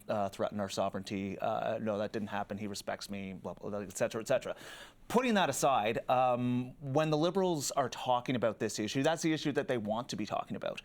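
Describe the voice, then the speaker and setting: Quick-talking male strategist. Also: mid-range voice. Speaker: political strategist, panelist. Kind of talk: conversational political analysis.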